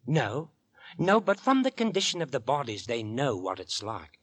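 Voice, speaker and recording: A chatty London policeman and suitor in a vintage radio play. His voice is raspy and very lively in pitch, close and dry. He explains things earnestly and deliberately, in a folksy way. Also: no echo. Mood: confiding. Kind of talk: 1940s radio acting. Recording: vintage 1940s radio recording.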